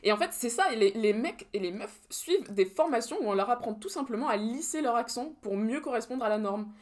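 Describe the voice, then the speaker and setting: A woman's voice: fairly high pitch, lively intonation, fairly quick. A young woman co-hosting a podcast, talking through prepared points in casual chat with a dry, ironic edge. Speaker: podcast co-host.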